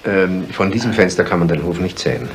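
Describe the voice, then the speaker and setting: An obliging, evasive village neighbour in a seventies radio play. His voice is deep. A faint noise lies under the old recording. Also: expressive, a little fast, vocal fry. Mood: uneasy, obliging. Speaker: actor in a crime radio play. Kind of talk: 1970s German radio drama acting.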